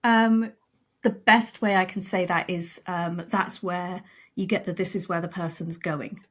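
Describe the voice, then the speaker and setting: Woman in animated, conversational podcast discussion. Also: on the low side, unhurried. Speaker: guest, audio drama writer.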